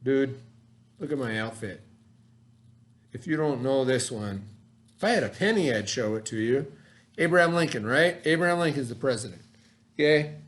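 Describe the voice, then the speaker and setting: A male teacher in a recorded lesson for his class, explaining words slowly with everyday examples and checking in often. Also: medium pitch, expressive. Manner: checks in often with "okay" and "right".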